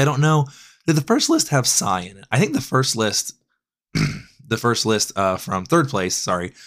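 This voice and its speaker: A man with a raspy voice, hoarse from a cold, casually breaking down card game decklists as a podcast host, often correcting himself mid-sentence. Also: mid-range voice, a bit bright. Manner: loose, rambling with frequent self-corrections. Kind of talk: casual deck analysis.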